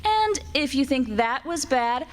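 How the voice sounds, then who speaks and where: A woman's voice: high-pitched, very animated, unhurried. A woman playing a jealous, menacing centuries-old wife in an old-time radio play, syrupy and commanding. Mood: menacing sweetness. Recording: stage performance in reverberant hall.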